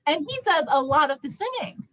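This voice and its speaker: A young woman with a high-pitched voice leads a casual student discussion. She talks conversationally and emphatically, often restating a point to drive it home. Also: sing-song, fairly quick, fairly bright tone, low-quality recording. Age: young adult. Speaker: student theatre group discussion leader.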